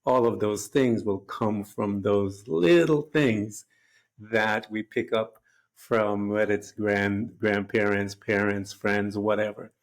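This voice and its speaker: Earnest lower-voiced male host. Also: slow pace. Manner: earnest, rambling, fond of "if you will". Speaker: podcast host. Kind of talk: interviewing, reflective commentary.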